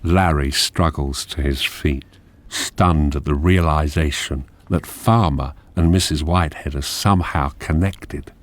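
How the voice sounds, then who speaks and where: A man narrating a radio drama, reading screenplay directions in a measured, deliberate manner. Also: a bass voice.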